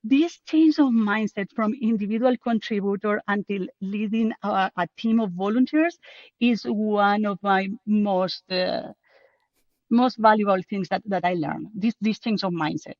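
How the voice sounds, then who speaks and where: A woman's voice: medium pitch, unhurried, darkish tone, no echo, lo-fi sound. A woman from Spain with a strong Spanish accent, speaking English as a second language, passionate and casual as an interview guest. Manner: passionate, with restarts and non-native grammar. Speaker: guest, co-author.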